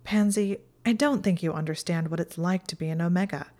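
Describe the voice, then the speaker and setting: A woman's voice: lowish voice, brisk, dark tone, lightly acted. A woman voicing a clever young witch who speaks in a dry, matter-of-fact way.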